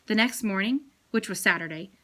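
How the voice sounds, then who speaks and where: A woman reading a children's chapter book aloud as a teacher, with expressive storytelling. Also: mid-range voice, brisk.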